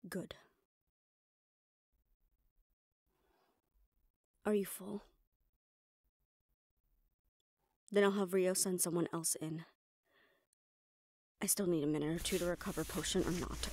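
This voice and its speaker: A woman's voice: on the low side, fairly even intonation, brisk, a little mellow, no echo. A woman playing a composed, protective assistant to a mafia boss in an intimate audio roleplay, speaking firmly and reassuringly straight to the listener. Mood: subdued, guarded. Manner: firm, reassuring, addressing the listener directly.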